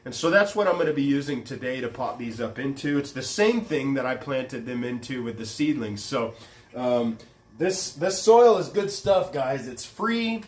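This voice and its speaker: A man's voice: medium pitch, expressive, normal speed. A man hosting a gardening vlog, talking casually with many ums and uhs, a little stuffy from a cold, recorded on a phone on a tripod. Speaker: gardening channel host. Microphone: phone camera on a tripod.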